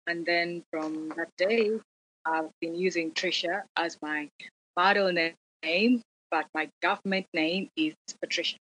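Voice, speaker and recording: Woman from uganda, lowish voice, just telling how she got started, steady. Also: unhurried, husky, low-quality recording. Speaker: fashion model, guest. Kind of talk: casual conversation.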